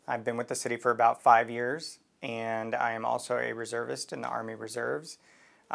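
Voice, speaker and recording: A man's voice: mid-range voice, gravelly, fairly bright tone. A man from Washington State, an attorney and Army reservist, speaking plainly in an interview. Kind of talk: recorded interview testimony.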